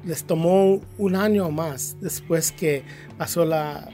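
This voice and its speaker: A man from Kansas with an American accent, a teachers' union representative answering interview questions in halting second-language Spanish, searching for words. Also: fairly high pitch, dark tone, faint music behind. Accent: American English. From Kansas, USA. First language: English.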